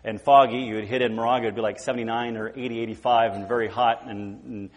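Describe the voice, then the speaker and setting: A man in his forties from California, preaching a sermon in a casual, halting way with many repeated words and fillers. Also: mid-range voice, level tone, in a large hall. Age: 40s. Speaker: guest preacher. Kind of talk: informal sermon. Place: church sanctuary.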